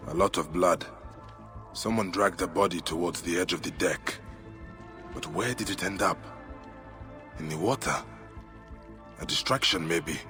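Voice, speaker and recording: A man's voice: low-pitched, measured pace, long pauses, music in the background. An actor playing a serious, determined male investigator in an ancient Egyptian video game, terse and dry in his questioning. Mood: brooding, investigating.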